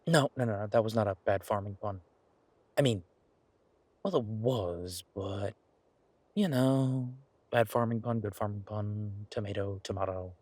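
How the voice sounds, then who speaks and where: Husky, earnest boyfriend, acted by a man. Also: fairly low pitch, darkish tone, dry recording. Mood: joking. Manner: halting, conversational, wry. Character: earnest, playful werewolf boyfriend pitching a farm venture. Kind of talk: one-sided audio roleplay acting, naturalistic conversation.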